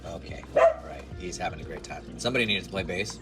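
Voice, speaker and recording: A man in his forties talks to the camera in a loose, rambling way, poking fun at himself. His voice sits very low and sounds nasal. Music plays clearly behind him. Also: expressive, quick pace. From United States. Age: 40s. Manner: rambling, self-deprecating, chatty. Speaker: musician and content creator. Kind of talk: casual talk to camera.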